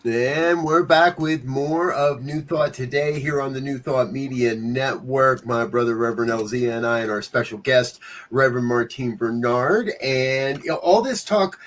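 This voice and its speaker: A male talk show host with a mid-range voice asks long, winding questions. He pauses and restarts often, leaning on fillers as he chats with his guest. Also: mid-range voice. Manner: warm, rambling questions with fillers. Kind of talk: casual interview chat.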